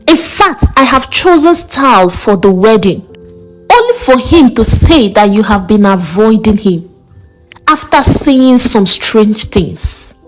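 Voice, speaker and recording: A woman voicing a warm, reassuring and direct middle-aged mother in a folktale, coaxing and motherly. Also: on the high side, low-quality recording.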